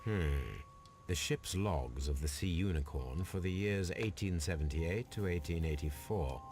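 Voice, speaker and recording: An actor playing a composed Victorian gentleman detective in a video game, with an English accent and a measured, precise delivery. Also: sits low, soft background music. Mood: musing, observant. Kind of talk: video game dramatic acting. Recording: clear background noise and ambience.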